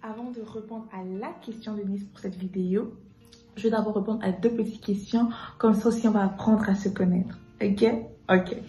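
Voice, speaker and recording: A young woman from Congo speaks casually, mixing in English phrases and laughing easily. Her voice sits at a medium pitch. The room rings with echo and soft music plays underneath. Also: fairly even intonation, normal speed, highs cut off. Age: 20s. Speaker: guest. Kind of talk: casual testimony to camera.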